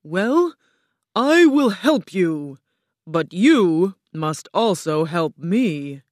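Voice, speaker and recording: Lady doing big scary storybook wizard head, loud n slow, bossy, stern, swoopy delivery. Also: sing-song, no room sound, clean studio sound, exaggerated voice. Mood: stern, questioning. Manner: slow, loud, drawn-out, booming pronouncements. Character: giant disembodied wizard head, commanding, imposing, stern, male. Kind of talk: storybook character voice.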